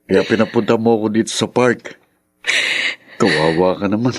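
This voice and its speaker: A man's voice: fairly low pitch, moderate pace, no echo, close to an everyday voice. A man playing a concerned, protective young friend, speaking earnestly and with sympathy in a radio drama. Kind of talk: Tagalog radio drama acting.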